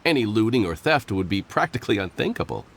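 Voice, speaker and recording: A man's voice: lowish voice, very animated, creaky, heavily acted. A man voicing a courteous, dutiful island soldier in a video game, speaking formally and firmly. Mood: assured. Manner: formal, measured. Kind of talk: scripted video game voice acting.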